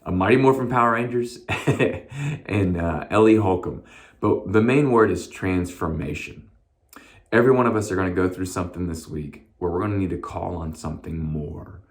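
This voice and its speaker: A man with a hoarse voice giving a short, casual devotional message as a pastor, with many fillers and restarts. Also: sits low, normal speed.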